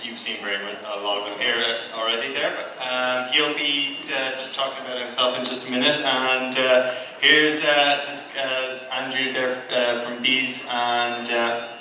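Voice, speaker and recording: A man hosting an event, haltingly and informally introducing panel guests. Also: medium pitch, level tone, bright, forceful tone. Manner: halting, hesitant. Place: large convention panel room. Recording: echoey room, distant mic, noisy.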